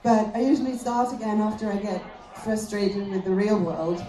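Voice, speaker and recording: A woman, a German speaker, performing a self-deprecating, conversational stand-up routine in English with halting pauses, recorded live in a venue. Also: mid-range voice, fairly even intonation, warm tone. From Austria. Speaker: stand-up comedian. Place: comedy festival venue, live on stage. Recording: echoey live room recording.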